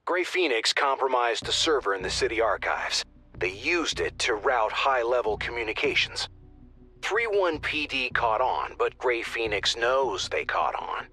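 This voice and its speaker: An actor playing a wry male operations dispatcher who briefs the squad in a dry, quick manner. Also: medium pitch, animated, pushed and bright. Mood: briefing. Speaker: mission dispatcher. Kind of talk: video game voice acting.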